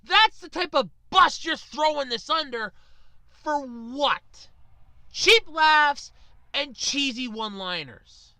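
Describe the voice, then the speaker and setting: A woman rants at her viewers in a bright, forceful voice that swings widely up and down. She is sarcastic and exasperated, leaning hard on her words. The sound is dry, with no room around it. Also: high voice, animated, takes it slowly, no echo. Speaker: video ranter. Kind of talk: opinionated rant to viewers.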